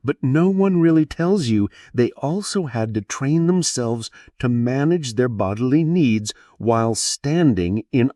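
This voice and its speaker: A man narrates a history tale meant for falling asleep, taking his time over each phrase. His delivery is gentle and theatrical, with widely varying intonation and deliberate pauses. The recording is close and dry, free of room sound. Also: mid-range voice, no room sound. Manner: gentle, soothing, storyteller's dramatic pauses and rhetorical questions. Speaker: narrator of sleep history stories. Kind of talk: scripted bedtime history narration.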